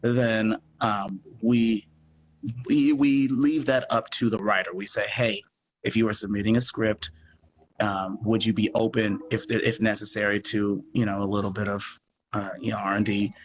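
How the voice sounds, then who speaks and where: A man chatting casually as an interview guest, expressive and thinking aloud as he explains his work. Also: fairly low pitch, normal speed, slight background noise, rough recording. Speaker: radio play producer and guest. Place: remote live video chat.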